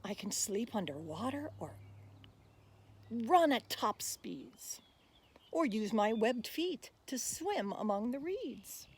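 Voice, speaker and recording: A woman voicing a small, shy, reclusive pygmy hippo in a rhyming picture book, with drawn-out, emphatic delivery. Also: fairly high pitch, sing-song, husky, exaggerated voice. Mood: coy, earnest.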